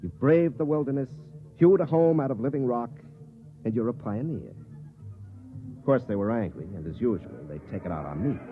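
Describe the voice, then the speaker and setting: An actor playing a cynical, hard-drinking army space captain in a 1950s radio drama, world-weary and sardonic. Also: male voice, medium pitch, expressive, normal speed, phone-quality sound, close to an everyday voice. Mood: weary, bitter. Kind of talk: 1950s radio drama acting with first-person narration. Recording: band-limited 1950s radio recording with music.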